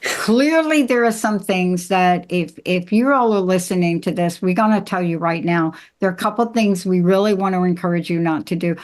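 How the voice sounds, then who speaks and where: A woman's voice: lowish voice, moderate pace, a little mellow. A woman hosting a conversational relationship-advice podcast, speaking emphatically and straight to her listeners. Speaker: podcast host and coach.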